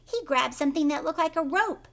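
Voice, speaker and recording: Woman, higher-pitched voice, storybook read-aloud, teacherly, animated, chatty asides to kids. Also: a little fast. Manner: animated, addresses young listeners directly.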